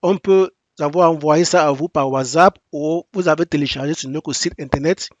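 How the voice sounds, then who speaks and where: Brief: male presenter for a software tutorial, native English speaker working in French, fairly high voice, dry close sound, repeats each step and restarts his sentences. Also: dry recording. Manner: step-by-step, repetitive instructions; halting non-native French with frequent restarts. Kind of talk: screen-recorded software tutorial.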